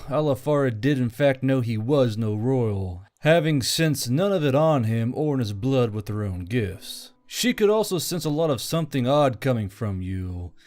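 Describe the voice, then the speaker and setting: A man's voice: mid-range voice, darkish tone. A man reading scripted fantasy fiction as a story narrator.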